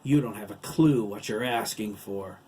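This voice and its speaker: A man's voice: on the low side, moderate pace, mellow, breathy edge. A man voicing a sneering, gloating giant monster villain in a storybook narration. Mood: gloating menace. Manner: gloating, taunting.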